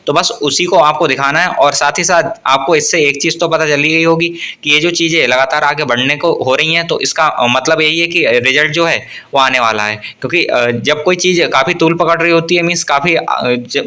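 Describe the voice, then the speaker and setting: Bright-voiced man, explaining. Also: a little high. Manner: explanatory, often rephrases and repeats points. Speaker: host of an exam news channel. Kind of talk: informal explainer update on government exam news.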